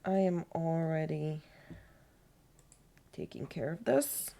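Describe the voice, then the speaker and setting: A woman streaming a game in a mixed Polish and British accent that comes across as American. She reads case files and diary pages aloud, thinking out loud and catching small slips as she goes. Her voice sits low with a gravelly fry. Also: animated, slow pace. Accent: mixed Polish and British, American-sounding. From Poland. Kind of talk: game stream commentary, reading in-game notes aloud.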